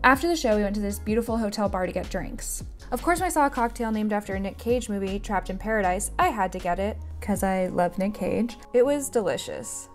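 A young woman narrates her vlog in a close voice-over, bubbly and gushing at a middle pitch. Faint music plays softly underneath her. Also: expressive, fairly quick, hardly any pauses. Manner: enthusiastic, gushing. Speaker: vlogger.

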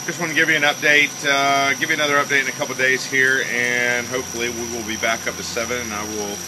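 A man's voice: medium pitch, quick pace. Guy rambling thru an update on his fish tank, phone mic, pump humming behind him. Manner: relaxed, rambling with many fillers. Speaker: aquaponics hobby farmer. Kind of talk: casual video update. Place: indoor grow room with fish tanks, briefly outdoors. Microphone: phone camera held while filming. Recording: noisy phone recording with pump hum.

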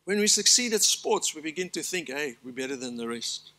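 Bright-voiced older pastor preaching. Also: male voice, fairly high pitch, lively intonation, fairly quick. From South Africa. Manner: earnest preaching with emphatic lists and asides. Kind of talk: sermon. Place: large church stage.

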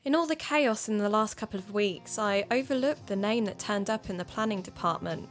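A woman's voice: medium pitch, brisk, natural voice. Woman playing a thoughtful podcaster sleuth over music. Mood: puzzled, pondering. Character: thoughtful, cautious young woman podcaster turned amateur sleuth. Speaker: actor in an audio drama. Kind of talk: conversational audio drama acting.

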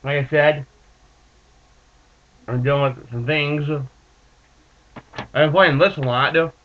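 A man in his twenties with a stuffed-up nose, rambling in a laid-back, halting way as he talks to his webcam in a small room with bookshelves. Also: on the high side, steady tone. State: stuffed up from allergies. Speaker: vlogger reviewing dip tobacco. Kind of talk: casual webcam vlog. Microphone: built-in webcam mic. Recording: muffled lo-fi webcam audio.

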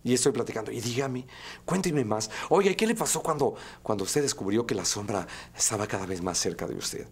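A man's voice: medium pitch, lively intonation, a little fast, darkish tone, slightly rough. A man in his forties with a Mexican accent, a radio host talking conversationally in an interview. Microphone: lapel mic.

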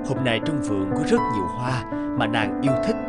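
An old cartoon king, acted by a man, with a medium-pitched, highly animated delivery. He is regal and dramatic, doting yet quick to anger, with music playing behind him. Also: close to an everyday voice. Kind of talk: cartoon fairy tale dubbing.

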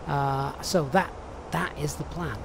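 A man's voice: on the high side, steady tone, slow pace, gravelly, fairly bright tone, slight background noise. A man streaming a flight simulator, chatting and reading out viewer questions with many ums and uhs. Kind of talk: live stream commentary.

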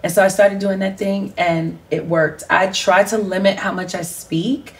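A woman worship singer talking thoughtfully as a guest on an interview set, with many pauses and ums. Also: medium pitch, fairly even intonation, far from the mic. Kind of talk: casual interview chat. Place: bright living-room studio set.

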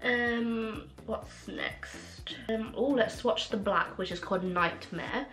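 A young woman reviewing makeup in a casual vlog, excitable and full of fillers, her voice a little rough from a cold and sore throat. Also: fairly high pitch, takes it slowly. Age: young adult. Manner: gushing, excitable, many fillers. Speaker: beauty vlogger. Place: small room at home, indoors. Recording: echoey room with faint music.

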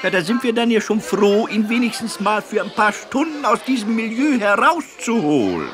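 A man's voice: high voice, very animated, normal speed, fairly bright tone. An actor playing an earnest, eagerly absurd male children's educator in a radio comedy interview, explaining things with little question tags. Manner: explanatory, with frequent "nicht?" tags.

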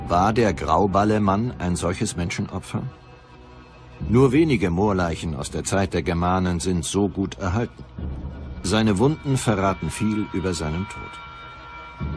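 A man narrating a documentary from a script. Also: low voice. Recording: music behind the voice.

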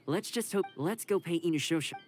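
A man's voice: fairly high pitch, a little fast, darkish tone, natural voice. A man playing a friendly, considerate young retainer in a video game, with a light, easygoing, earnest delivery. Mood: friendly, reassuring. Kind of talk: video game dialogue acting. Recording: game music behind.

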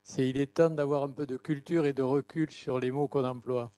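Mellow older man, close and dry. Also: mid-range voice, steady tone, brisk, dry recording. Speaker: audience member asking a question. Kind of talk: polite prepared question. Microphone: handheld microphone.

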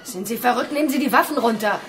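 An actress playing a fearless, sharp and challenging captive in a radio drama. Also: female voice, on the high side, fast, far from the mic, slight background noise, natural voice. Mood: indignant. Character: brisk, fearless captive woman.

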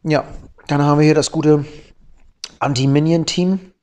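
A man with a cold gives gameplay commentary, calmly explaining each move in detail with frequent fillers. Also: fairly high pitch, normal speed, clean tone. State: has a cold.

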